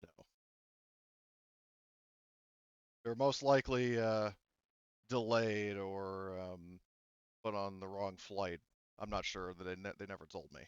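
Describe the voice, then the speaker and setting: A stoic captured gang boss in a sci-fi tabletop game, played by a man, blunt and coolly bargaining. The voice is smooth and fairly low, recorded dry and a little dull. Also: steady tone, a little slow, no room sound. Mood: stoic, cooperative.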